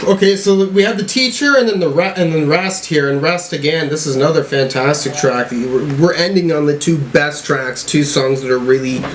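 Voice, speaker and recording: A man's voice: a little high, moderate pace, hardly any pauses, slight background noise, poor audio. A man casually reviewing an album, reading lyrics and thinking aloud in a hesitant way as he works out what they mean. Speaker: music reaction video host.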